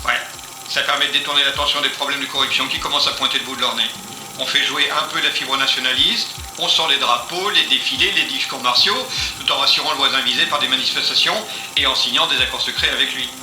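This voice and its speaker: A man's voice: medium pitch, fairly even intonation, fast talker, natural voice. Man playing a cynical, commanding interrogator in echoey room. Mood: cynical, smooth. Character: cynical, commanding male police intelligence interrogator. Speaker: actor in an audio drama. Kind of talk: French audio fiction acting. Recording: roomy echo, music underneath.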